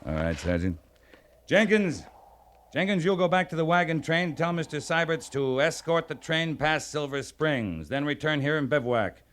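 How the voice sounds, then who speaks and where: An actor playing a resolute American army captain in an old-time radio western, speaking in a measured, authoritative way. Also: male voice, on the high side, lively intonation, a bit bright. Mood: commanding. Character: resolute, plain-spoken U.S. Army cavalry captain in the Old West. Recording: vintage radio drama recording.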